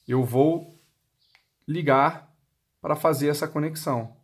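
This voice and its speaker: A man with a Brazilian accent demonstrating a product step by step, explaining by asking and answering his own questions. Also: fairly high pitch. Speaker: product demonstrator for motorcycle intercom seller.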